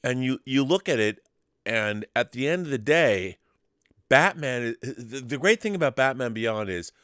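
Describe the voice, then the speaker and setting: A man's voice: mid-range voice, fairly bright tone, clear, resonant tone, no room sound. Emphatic man, close dry sound. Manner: emphatic, repeats words for stress. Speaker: co-host of a pop culture discussion show. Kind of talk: casual fan debate about films and TV.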